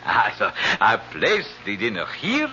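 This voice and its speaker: A man's voice: lowish voice, huge pitch swings, measured pace, bright, forceful tone, soft background music, full character voice. A man playing a courteous, effusive hotel waiter with a Spanish accent, speaking formally and floridly in old-time radio drama style. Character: courteous, effusive male hotel waiter, proud of his food. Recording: muffled, noisy old radio broadcast.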